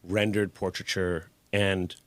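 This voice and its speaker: Low-voiced candid man in his forties. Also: flat delivery, slow, fairly bright tone, no room sound. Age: 40s. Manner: candid, laid-back storytelling with casual swearing. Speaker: guest artist. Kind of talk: casual interview conversation.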